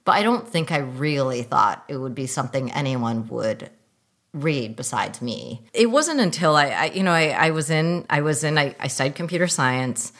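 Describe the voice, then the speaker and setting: A middle-aged woman, born in the United States with Mandarin as her first language, interviewed as a filmmaker and telling animated anecdotes with laughter. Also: extremely low pitch, normal speed. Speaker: filmmaker guest. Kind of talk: interview storytelling.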